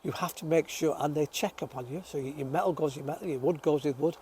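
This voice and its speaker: A chatty man whose voice sits a touch high and is still croaky from a recent illness. He talks casually to his viewers about his allotment, reading out their comments in a friendly way. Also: fast talker, darkish tone, a little hiss or hum. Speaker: host of an allotment gardening show. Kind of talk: casual chat to camera. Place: outdoors on an allotment, birdsong around.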